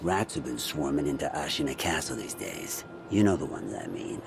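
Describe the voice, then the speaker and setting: Very deep, gravelly, sardonic rogue. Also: male voice, big pitch swings, normal speed, noisy background, full character voice. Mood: wry, sly. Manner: wry, grumbling, chatty. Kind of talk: video game character acting. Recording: music behind the voice.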